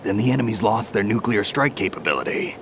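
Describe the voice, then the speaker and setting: A male actor as a grizzled game soldier with a very low, gravelly voice. He is terse, cynical and deadpan, a weary veteran, and clear noise sits behind him. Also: fast talker, close to an everyday voice. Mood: terse, dry. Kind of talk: dramatic video game voice acting.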